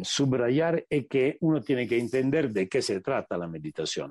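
A man with an Italian accent talks as a meditation teacher on a radio show, searching for his words and slowing down to stress key points. His pitch rises and falls a lot. The recording is dry and close. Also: warm tone, no room sound. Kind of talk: reflective interview answers.

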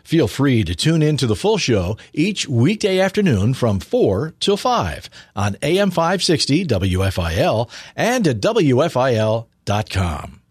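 A man's voice: mid-range voice, sing-song, unhurried, few pauses, darkish tone, slightly rough, no echo, clean studio sound. A man reading a scripted podcast outro as an announcer.

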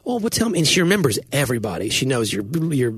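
A man's voice: mid-range voice, big pitch swings, fast talker, a bit bright, slightly rough, no echo, clean studio sound. A man, co-hosting a podcast and telling chatty stories, laughing often. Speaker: podcast co-host. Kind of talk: casual chat.

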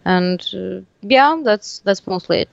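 A woman's voice: mid-range voice, lively intonation, a little slow, vocal fry, no echo, muffled recording. A woman whose first language is Serbian, a musician talking casually in an interview, hesitant with many fillers and restarts. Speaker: musician guest. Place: living in Tunisia.

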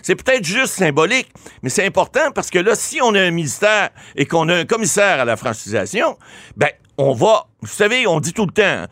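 A man from Ottawa speaks as a legal guest on talk radio, pressing his points with emphatic, explanatory energy. His voice sits a little high and swings widely in pitch. The sound is dry and close. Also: very animated, brisk, fairly bright tone, no room sound. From Ottawa, Canada. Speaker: lawyer guest. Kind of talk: radio interview.

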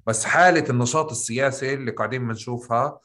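A man's voice: mid-range voice, rapid-fire, fairly bright tone. A man with a rural Palestinian accent from Tulkarm, interviewing a guest in a thoughtful way, often searching for his words. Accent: Palestinian, Tulkarm rural with qaf. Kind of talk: conversational interview.

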